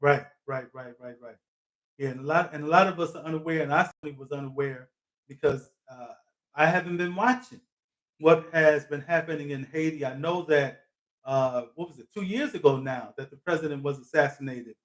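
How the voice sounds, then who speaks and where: A man's voice: a little high, brisk, a bit bright, poor audio. Thoughtful male talk show host. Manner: thoughtful, with frequent fillers and reflective asides. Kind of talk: live talk show interview.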